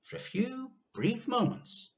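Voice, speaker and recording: A man reading a children's story aloud with expressive, theatrical narration and dramatic pauses. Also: extremely high pitch.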